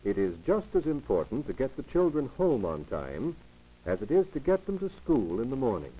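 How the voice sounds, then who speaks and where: Muffled 1940s male narrator, very varied pitch. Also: huge pitch swings, breathy edge. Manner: didactic, formal, measured. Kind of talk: 1940s instructional film narration, scripted. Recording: muffled, lo-fi 1940s 16mm film soundtrack.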